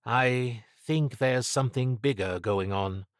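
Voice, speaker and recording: A man's voice: mid-range voice, slow, dry recording, clean studio sound, voice pushed up, lightly acted. A man voicing a wry, wary woman secret agent with clipped understatement in a noir audiobook. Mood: uneasy, confiding. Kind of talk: audiobook character dialogue.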